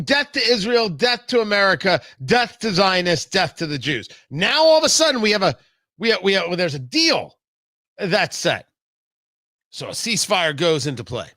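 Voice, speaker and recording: A man hosting a talk radio show, delivering an opinionated political monologue theatrically, with emphatic repeats and dramatic pauses on a close studio mic. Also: high-pitched, pushed and bright, no room sound. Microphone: close studio microphone.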